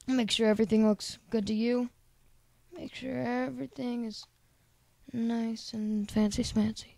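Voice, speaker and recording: A man with a high-pitched, squeaky voice, casually talking through a software tutorial in a rambling, chatty way full of filler words. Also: flat delivery, a little slow, creaky, no echo. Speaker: tutorial host. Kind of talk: casual screen-recording tutorial.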